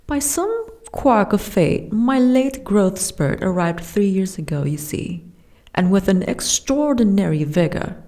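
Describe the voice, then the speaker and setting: A woman voicing a gentle, wistful young giantess princess with courtly, formal phrasing in a soft ASMR fantasy roleplay. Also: medium pitch, big pitch swings, measured pace. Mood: weary, wistful. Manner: formal, archaic, genteel phrasing.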